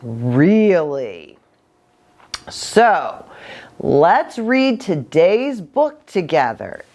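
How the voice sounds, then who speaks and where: Animated woman hosting children's storytime. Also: on the low side. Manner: animated, addressing young children with questions. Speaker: children's ministry host and pastor. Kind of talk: children's storytime, read-aloud with asides.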